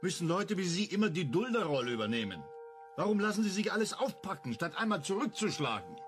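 An actor playing a persistent, provocative visitor who presses with questions in a German radio crime drama. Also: male voice, high-pitched, very animated, quick pace, fairly bright tone, soft background music. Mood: challenging.

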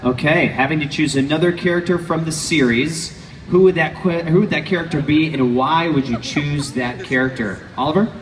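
A man moderating a fan Q&A on an outdoor stage, upbeat and brisk. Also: on the high side. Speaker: panel moderator. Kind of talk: hosting a Q&A. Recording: echoey PA sound from far off with crowd noise.

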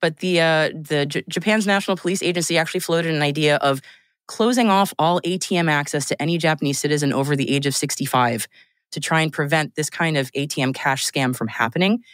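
A woman's voice: deep voice, fast talker, dry recording. A woman guest on a podcast, chatting casually, laughing often and restarting her sentences. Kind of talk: casual chat.

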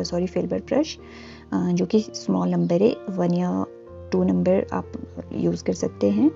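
Woman art tutor, gravelly and warm, calm step-by-step voice-over with faint music, slightly dull recording. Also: mid-range voice. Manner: calm and instructive, with frequent pauses and fillers. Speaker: art teacher. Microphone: voice-over, camera on hands and canvas.

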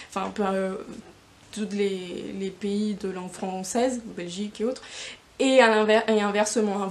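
A woman's voice: mid-range voice, darkish tone. A young woman from Spain, speaking a second language, chatting casually on her knitting podcast with many fillers and restarts, filmed in a yarn shop. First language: Spanish. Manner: rambling, many fillers and restarts, gesturing with hands. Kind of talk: casual video podcast chat. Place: yarn shop in front of shelves.

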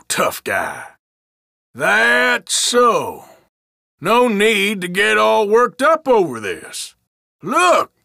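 An actor playing an irritable, wary Old West outlaw in a video game, with a rural American drawl and a folksy, sardonic, defensive manner. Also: male voice, high-pitched, sharp tone, dry recording, clean studio sound, heavily acted. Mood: mocking, challenging, then placating. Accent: American, rural Western drawl. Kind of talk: game voice lines, short barks.

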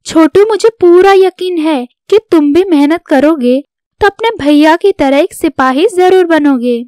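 A supportive young wife from a cartoon family story , played by a woman. The voice is very high and unhurried, politely reassuring, in a dry recording. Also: darkish tone, dry recording. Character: gentle, supportive young wife and daughter-in-law. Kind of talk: scripted Hindi cartoon story dialogue.

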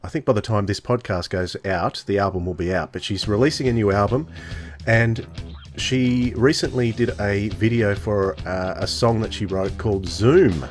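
A man's voice: on the low side, normal speed, faint music behind. A middle-aged man co-hosting a podcast, chatting casually and jokily as he gives recommendations into a close microphone. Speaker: podcast host. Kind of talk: casual chat, giving recommendations. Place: indoors on a video call. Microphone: large close microphone with headset.

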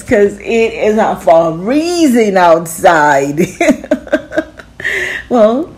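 A woman reading a picture book to children at a slow, deliberate pace. Her voice sits a little high and swings widely up and down as she giggles through the funny parts. She talks like a friendly storytime host. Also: darkish tone.

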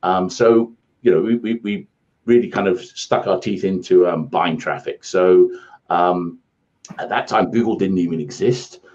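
A man's voice: sits low, measured pace, a little mellow, lo-fi sound. A British man in his forties telling his career story in a rambling, self-deprecating way, over a video call from a home office. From England. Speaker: guest, ad agency founder. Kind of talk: casual interview storytelling. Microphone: earphone headset on video call.

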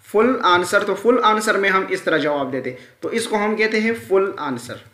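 A man's voice: high voice, animated, normal speed, a bit bright. A man teaching a grammar lesson in an explanatory style, repeating key terms and often checking in with the listener. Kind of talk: explanatory screen-recorded lesson. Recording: echoey room sound.

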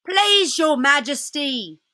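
Frightened old fox, high-pitched and stammering, a woman's voice. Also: sing-song, slow pace, sharp tone, no room sound, exaggerated voice. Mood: fawning, nervous. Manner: stammering, deferential, hesitant. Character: timid elderly male fox, fawning and frightened.

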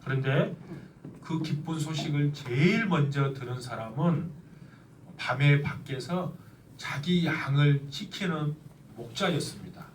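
A middle-aged male pastor preaching a sermon, his voice slightly nasal from a cold, earnest and slowing down for emphasis. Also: a little high, expressive, a little hiss or hum. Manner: earnest, with slow emphatic stretches. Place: church sanctuary. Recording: echoey church, mic at a distance.

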